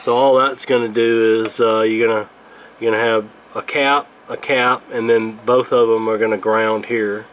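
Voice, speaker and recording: A man giving a casual hands-on repair tutorial in a halting, unscripted, explanatory way. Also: lowish voice, flat delivery, a bit bright. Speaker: radio repair video host. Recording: clear background noise.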